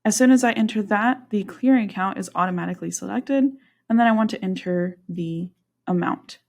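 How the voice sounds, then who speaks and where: A woman narrates a software demonstration in an instructional, step-by-step way. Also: mid-range voice, a little fast, gravelly. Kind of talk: screen-share software tutorial narration.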